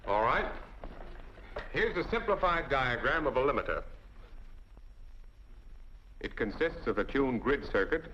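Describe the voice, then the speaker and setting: A man's voice: mid-range voice, lively intonation, unhurried, pauses often, pushed and bright, slight background noise. An American man delivers scripted instructional narration as a training film narrator, explaining methodically step by step in a didactic way. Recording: dull 1940s film soundtrack.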